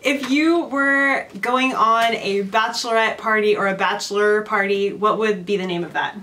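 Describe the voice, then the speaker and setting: A woman's voice: medium pitch, fairly even intonation, normal speed, pushed and bright. A woman in her thirties from Southern California with a Californian accent, hosting a casual chat and asking curious, giggly questions. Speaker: host.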